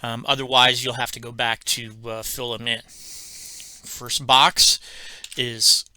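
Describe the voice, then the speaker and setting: A man giving an unscripted, step-by-step software tutorial in a halting, methodical, instructional way. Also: medium pitch, flat delivery, smooth, no echo. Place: indoors at a computer, screen-recording voice-over.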